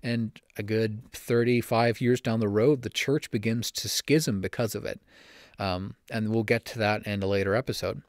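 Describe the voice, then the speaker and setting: A young man hosting a solo church-history podcast from home, slightly raspy and talking fast with frequent self-corrections. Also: fairly low pitch, steady tone, dry recording, studio quality. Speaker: podcast host. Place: home room.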